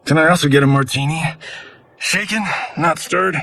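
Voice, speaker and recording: Cocky wisecracking action star. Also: male voice, a little high, moderate pace, vocal fry, pushed and bright. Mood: wry. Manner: flippant, casual, slangy. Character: cocky, wisecracking male movie-star fighter. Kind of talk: cinematic video game acting. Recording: music behind the voice.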